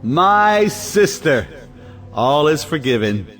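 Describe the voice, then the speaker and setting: Slow, swooping, pompous brother played by a man. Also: on the high side, very animated, a bit bright, faint music behind, exaggerated voice. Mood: stiff, grand. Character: pompous, easily outraged brother and property owner. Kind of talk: audio play acting.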